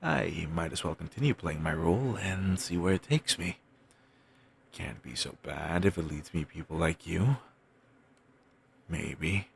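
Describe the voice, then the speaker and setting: A man playing a wistful, self-doubting wandering bard in an intimate audio roleplay, musing in courteous, formal phrases with thoughtful pauses and a gravelly, husky voice. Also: sits low, lively intonation, exaggerated voice. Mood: melancholy musing. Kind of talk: intimate one-sided audio roleplay acting.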